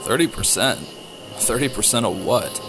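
A man's voice: medium pitch, expressive, moderate pace, creaky, poor audio. A man playing a wry, roguish smuggler pilot in an audio drama, casual and cocky. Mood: haggling. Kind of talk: audio drama character acting. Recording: music playing behind the voice.

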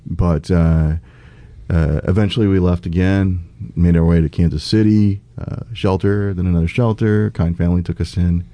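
A man's voice: low voice, fairly even intonation, moderate pace, warm tone, no room sound. A middle-aged man from the United States, a political candidate speaking earnestly in a conversational interview, with many fillers and restarts.

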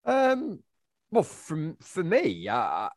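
A man's voice: a little high, huge pitch swings, slow, no room sound, studio quality. A middle-aged man, co-hosting a casual chat and rambling through answers with lots of fillers and restarts. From England. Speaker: podcast co-host.

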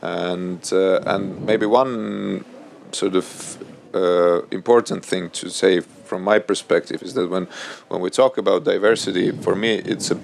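A man in his thirties, a native Russian speaker, talks on a panel before an audience. His voice sits very low, with a gravelly fry, and he keeps pausing on fillers and restarting his sentences. Faint noise hangs under the recording. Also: fairly even intonation. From Estonia. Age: 30s. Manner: halting, many fillers and restarts. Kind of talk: panel discussion. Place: event hall before an audience.

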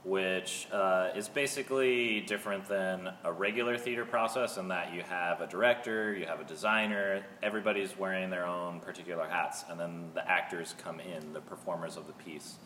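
A man's voice: sits low, steady tone, moderate pace, few pauses, pushed and bright. An American man casually explaining a creative process as a guest in an interview. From Seattle, United States. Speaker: theater artist, interview guest. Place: artist's studio. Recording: echoey reverberant room, faint noise.

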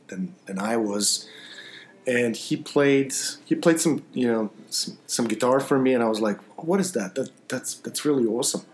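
A man from Croatia, a guitarist guest on a podcast, speaking casually in a halting way with many restarts and fillers. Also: medium pitch. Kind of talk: casual interview. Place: busy festival venue, stone hall.

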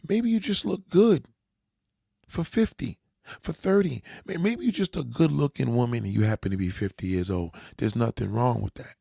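Gravelly man lecturing bluntly. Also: on the high side, no echo, studio quality. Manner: lecturing, repeats "you understand?"; blunt, swears. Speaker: dating advice commentator. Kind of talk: reaction commentary.

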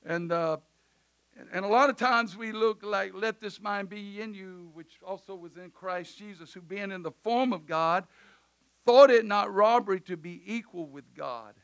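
A preacher with a loud, high-pitched voice that cuts bright and sharp through the sanctuary. He swings from fervent shouting down to soft, offhand remarks. His is easy to hear in his casual phrasing. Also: a little slow, smooth. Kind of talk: sermon in church service. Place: church sanctuary.

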